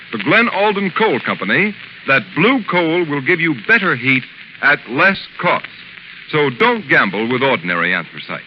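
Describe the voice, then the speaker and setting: A man announcing on 1930s radio from a script, with formal, emphatic delivery. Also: fairly high pitch. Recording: muffled, noisy 1930s radio recording.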